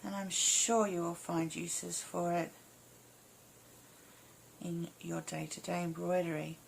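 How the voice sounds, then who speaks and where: A woman instructor talks through a hands-on craft tutorial at a very unhurried pace. Her voice is croaky and slightly hoarse, with a gravelly creak. She explains informally in short phrases, and there is some noise in the recording. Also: on the low side, level tone. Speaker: embroidery instructor. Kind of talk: casual step-by-step craft tutorial.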